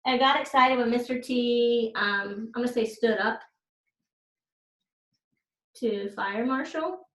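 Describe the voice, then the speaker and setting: A woman with a fairly high voice leads a children's read-aloud as a teacher. She explains simply and speaks straight to her listeners, in a noticeably echoey room. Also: takes it slowly, a bit bright. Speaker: teacher reading aloud to students. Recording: roomy, echoey sound.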